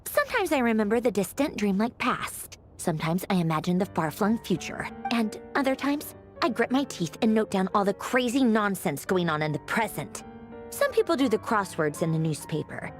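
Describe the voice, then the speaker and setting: A woman's voice: medium pitch, sing-song, moderate pace, natural voice. Woman acting a haughty, swooping young genius. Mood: dry, wistful. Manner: haughty, sardonic, offhand, dryly theatrical. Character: haughty, sharp-witted young woman, a mecha-making genius. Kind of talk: scripted video game voice acting. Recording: music playing behind the voice.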